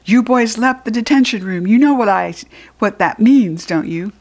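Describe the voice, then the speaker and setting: A woman with an American accent voicing a bossy male school principal who scolds loudly and triumphantly in a storybook. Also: a little high, lively intonation, moderate pace. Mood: accusing.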